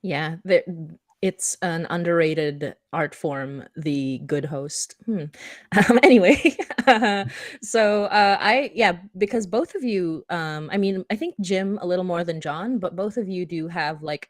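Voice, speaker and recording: A woman with a low-pitched voice hosts a relaxed podcast chat. She talks informally, with plenty of "like" in her sentences. The sound is dry, with little room around her. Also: a little slow, no echo. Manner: chatty and informal, peppering sentences with "like". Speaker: host. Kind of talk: casual podcast conversation.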